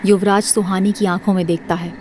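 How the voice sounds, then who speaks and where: A woman's voice: mid-range voice, level tone, fairly quick, darkish tone, slight background noise. A woman reading scripted narration for an audio drama series.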